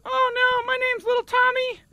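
A man's voice: very high-pitched, completely flat delivery, moderate pace, bright, forceful tone, dry recording, heavily acted. A man voicing an anxious, eager little auditioner who babbles and repeats himself in improvised game comedy. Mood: nervous, eager. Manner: babbling, repetitive, naive.

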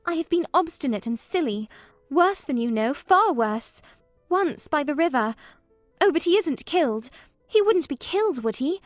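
A woman's voice: high-pitched, animated, normal speed, no room sound, faint music behind, pitched above the performer's own voice. A woman voicing an earnest, pleading young woman. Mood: emotional. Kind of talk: audiobook character voice.

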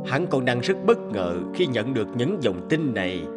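A kind older man who has lost his only son, talking in a gentle, consoling way with a lively, mid-pitched voice, as a man voices him. He speaks in an animated drama over loud background music. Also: normal speed, close to an everyday voice. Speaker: voice actor.

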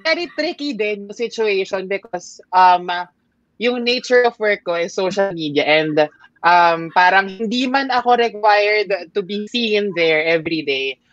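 A woman's voice: mid-range voice, lively intonation, moderate pace, dry recording. Hesitant Filipino woman, dull dry call audio. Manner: apologetic, reflective, hesitant. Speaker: panel guest, social media creator. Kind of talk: casual panel discussion.

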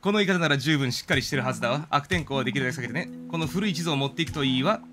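A man reading as a gentle, motherly woman. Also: fairly high pitch, lively intonation, very fast, over music. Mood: helpful.

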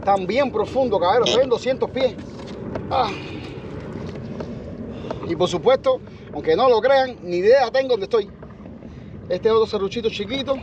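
A middle-aged man giving casual, excited fishing commentary, exclaiming and swearing casually as he talks to his viewers from a kayak. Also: very high-pitched. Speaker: fishing channel host. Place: outdoors in a kayak on open sea. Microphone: action camera mounted on the kayak. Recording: loud wind and water noise.

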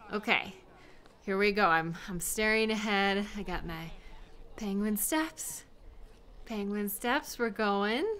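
A woman acting a giggly, high-voiced flirty beginner skater. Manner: giggly, hesitant, chatty, with excited exclamations. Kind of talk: intimate audio roleplay, one-sided conversation.

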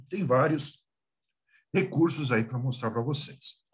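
Lively Brazilian man on a video call. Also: medium pitch, slow pace. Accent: Brazilian Portuguese. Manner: lively, repeats small words, clears throat. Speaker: guest speaker. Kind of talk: business talk.

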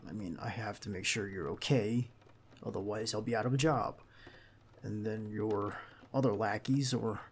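A man playing a protective, earnest bodyguard in an ASMR roleplay, speaking haltingly and insistently straight to the listener. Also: lowish voice. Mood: flustered. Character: protective, earnest young male bodyguard to a crime boss. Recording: clear background noise under the voice.

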